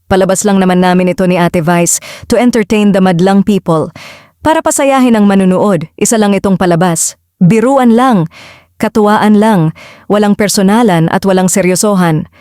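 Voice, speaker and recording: A woman narrates a first-person audio drama from a script. Her voice sits at an average pitch for a woman, and the recording is close and dry, without room sound. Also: moderate pace, dark tone, dry recording. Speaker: narrator and lead voice actor.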